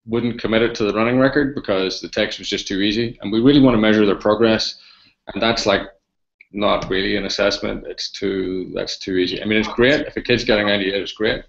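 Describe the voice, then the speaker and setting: An Irish man chimes in as co-presenter, chatty and conversational. His voice sits low and sounds gravelly. The audio is dull and lo-fi. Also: steady tone, brisk.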